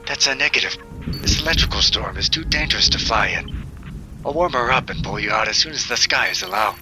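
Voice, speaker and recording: An actor playing a brisk, practical pilot in an audio drama, speaking in clipped radio-call phrases. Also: male voice, on the low side, animated, over music, natural voice, low-quality recording. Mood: businesslike.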